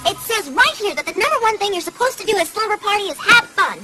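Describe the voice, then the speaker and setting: An earnest, bookish young pony girl from a cartoon. She speaks very high with a lively, shifting pitch. Her delivery is precise and fretful, over loud music. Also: quick pace. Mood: exasperated. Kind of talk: cartoon voice acting.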